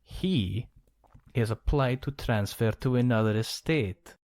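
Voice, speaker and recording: A man's voice: mid-range voice, slow, a little mellow, no echo, lightly acted. A man playing a suave, gossipy, scheming count in an ASMR roleplay, speaking in a genteel, theatrical manner. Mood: amused, gossipy. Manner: genteel, theatrical, arch phrasing.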